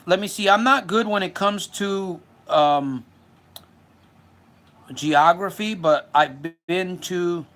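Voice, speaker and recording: A man's voice: on the high side, fairly bright tone. A man in his fifties from Puerto Rico, giving an earnest self-help livestream talk and answering viewer comments, often repeating words as he goes. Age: 50s.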